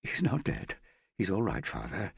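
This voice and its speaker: A man voicing a distraught young woman who pleads anxiously, in a higher, strained voice. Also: huge pitch swings, normal speed, gravelly, slightly rough, no room sound, studio quality, exaggerated voice. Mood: pleading.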